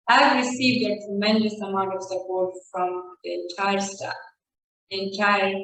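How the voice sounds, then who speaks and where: A woman's voice: medium pitch, very echoey. A woman graduate reads a formal, grateful speech from some distance from the mic. The room rings heavily around her voice, and a faint background hum stays under it throughout. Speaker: program graduate. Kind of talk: reading a prepared speech.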